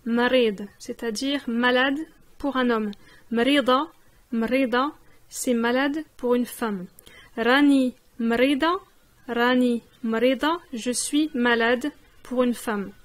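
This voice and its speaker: High-voiced woman teaching vocabulary slowly. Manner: slow, clear repetition of each word. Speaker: language teacher. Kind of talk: vocabulary lesson with repetition.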